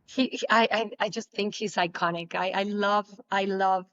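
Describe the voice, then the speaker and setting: A young woman whose native language is Spanish, answering interview questions casually, with many halting restarts. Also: mid-range voice, steady tone, a bit bright, no room sound, dull audio. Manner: halting, repeats words, laughs often. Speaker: guest, pole dancer.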